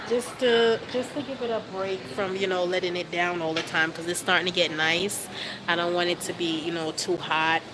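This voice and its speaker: A woman's voice: lowish voice, background noise. Stuffy-nosed young woman chatting on a phone. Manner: chatty, relaxed, with frequent fillers and laughs. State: stuffy from a cold. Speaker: vlogger livestreaming to fans. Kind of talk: casual live chat. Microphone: phone held at arm's length.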